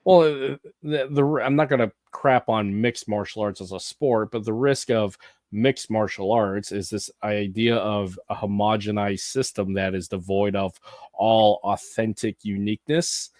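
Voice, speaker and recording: A man with a fairly low voice hosts a relaxed podcast chat, making his points forcefully and dropping quick agreeing murmurs while his guest talks. The sound is close and dry, with little room around it. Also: no echo. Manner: emphatic, opinionated, frequent backchannel murmurs. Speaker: podcast host. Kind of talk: casual podcast conversation.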